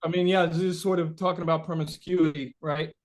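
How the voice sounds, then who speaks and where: A man being interviewed as a musician, chatting casually and feeling his way through rambling, halting explanations. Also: on the high side, fairly even intonation.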